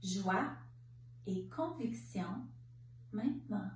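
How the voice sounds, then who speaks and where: Slow-speaking woman guiding relaxation, roomy sound. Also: mid-range voice. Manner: calm, measured, instructive, with pauses between short phrases. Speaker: yoga nidra instructor. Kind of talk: guided relaxation meditation. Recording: roomy, distant mic, faint background noise.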